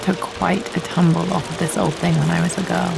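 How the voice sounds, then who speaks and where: An actress playing a thoughtful young British woman explorer in a video game, musing softly to herself. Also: lowish voice, level tone, fairly quick, vocal fry, over loud music, poor audio. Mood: quiet, curious. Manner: soft, hushed, reflective.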